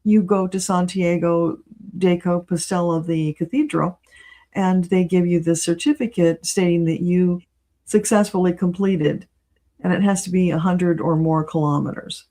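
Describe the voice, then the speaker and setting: A woman's voice: fairly low pitch, steady tone, moderate pace, mellow. A woman speaking as a guest in a casual conversational interview. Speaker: guest, spiritual channeler and reader.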